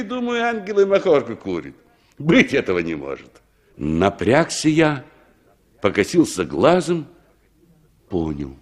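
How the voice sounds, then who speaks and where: An actor playing a middle-aged former war commander who recalls his survival with unhurried, expressive pauses, in a 1960s radio drama style. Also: medium pitch. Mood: wondering.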